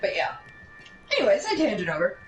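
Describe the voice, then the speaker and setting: A woman casually telling a rambling anecdote in an animated way, drifting into tangents and acting out what people said. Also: medium pitch, brisk, soft background music. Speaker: storyteller. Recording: roomy, distant mic, steady background noise.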